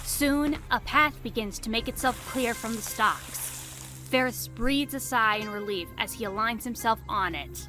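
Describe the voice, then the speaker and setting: A woman's voice: on the high side, unhurried, pushed and bright, soft background music. A woman reading scripted storybook narration for an audio drama.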